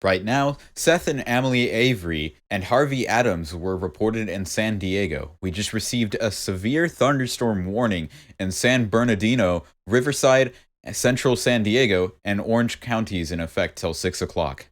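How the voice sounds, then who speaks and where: A man reading a brief news bulletin in a brisk reporting tone. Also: on the low side.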